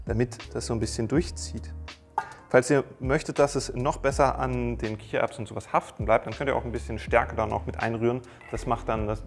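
A young man talking through a recipe in an easygoing, chatty way, dropping small jokes as he cooks. His voice sits at an ordinary middle pitch, close and clean on a lapel mic in a bright home kitchen, with faint music underneath. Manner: friendly, chatty, explains step by step with small jokes. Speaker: cooking channel host. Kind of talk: casual cooking tutorial.

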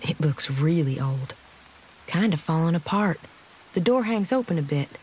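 A woman's voice: low-pitched, lively intonation, moderate pace, darkish tone, slight background noise. An actress playing a confused young woman left for dead, narrating her story in a casual, wry, hesitant way for an audio drama. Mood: wary. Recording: dramatic music behind the voice.

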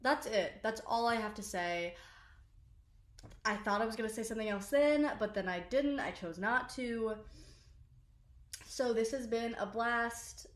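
A woman's voice: a little high, measured pace, pauses often, roomy sound, a little hiss or hum. A young woman rambling casually to the camera about her favorite makeup, with lots of "like" fillers and self-deprecating asides. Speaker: social media creator. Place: small bedroom, indoors.

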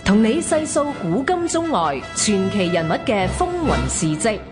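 A woman's voice: medium pitch, sing-song, unhurried. A woman announcing a dramatic scripted radio intro. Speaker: programme announcer. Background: loud music.

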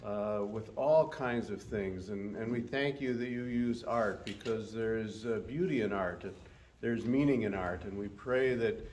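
A man's voice: fairly low pitch, darkish tone, slight background noise. Older man praying reverently. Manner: measured, reverent, with pauses and uh's. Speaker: pastor. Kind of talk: spoken prayer. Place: church sanctuary.

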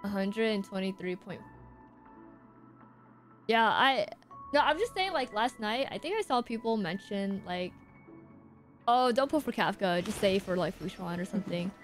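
A woman game streamer giving casual live commentary to chat in informal, halting, slangy speech. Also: medium pitch, expressive. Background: game music.

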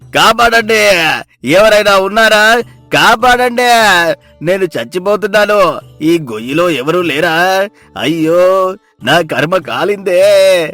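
A man's voice: sits high, slow, pauses often, fairly bright tone, no room sound, faint music behind. A man playing a stubborn, miserly farmer who grumbles and pleads dramatically in a moral story. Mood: panicked.

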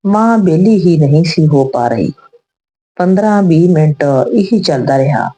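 A woman with a low-pitched, soft-edged mellow voice. She reads scripted narration as a storyteller, telling an emotional moral tale. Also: lively intonation, normal speed, slightly rough.

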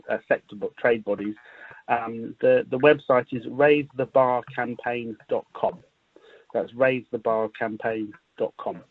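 A man's voice: mid-range voice, no room sound. Man on a muffled call line. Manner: halting, repeats words, measured explanatory delivery. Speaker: business improvement district representative. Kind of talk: spoken update on a conference call, semi-formal. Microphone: phone or conference call. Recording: muffled narrow-band conference call line.

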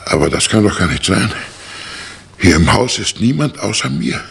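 An actor playing a testy, formal professor in a 1960s radio crime drama, with a gravelly, husky, hesitant delivery. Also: male voice, on the low side, very animated, moderate pace, fairly bright tone, full character voice. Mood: startled, disbelieving. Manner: formal, indignant, hesitant 'äh'. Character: testy, startled male professor with an organ.

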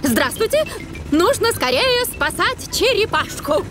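A high, cheerful cartoon rescue animal. She is brisk and capable in manner, with very lively pitch. Loud music runs behind her. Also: full character voice. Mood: eager. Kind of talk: children's cartoon dub.

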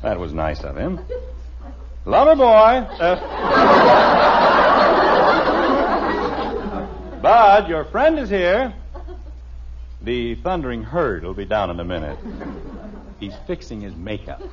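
A man's voice: medium pitch, wildly swooping pitch, measured pace, long pauses. A man playing a grumbling middle-aged American family father in a radio sitcom, dry, exasperated and wisecracking. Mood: dryly amused. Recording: dull, noisy vintage radio recording.

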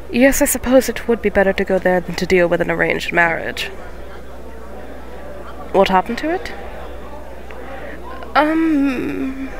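A woman playing a gentle, motherly young cat witch who keeps a tavern, speaking softly and formally in an ASMR fantasy roleplay. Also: a little high, poor audio. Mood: polite, concerned. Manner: soft-spoken, formal, unhurried phrasing. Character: gentle eighteen-year-old neko witch tavern keeper, motherly, polite.

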